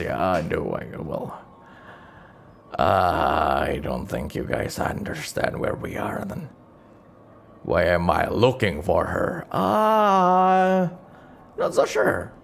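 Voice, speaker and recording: A man improvises an aging adventurer who is only in it for the money. The voice is very low and gravelly, with slow, hesitant grumbling that rambles into mild exasperation, as in a tabletop role-play. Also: a bit bright, slight background noise, heavily acted. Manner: muttering, rambling, mildly exasperated.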